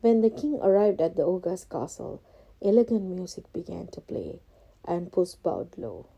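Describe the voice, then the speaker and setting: A woman reading a scripted children's storybook as narrator, expressive and carefully phrased. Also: fairly low pitch, a little slow, gravelly, breathy edge, lo-fi sound.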